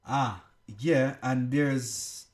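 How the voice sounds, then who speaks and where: A man's voice: mid-range voice, fairly even intonation, very slow. A man with a Jamaican accent and a mid-range voice chats casually as a podcast co-host. He talks in an easygoing, agreeable way. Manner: easygoing, agreeable, laughs along. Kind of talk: casual chat.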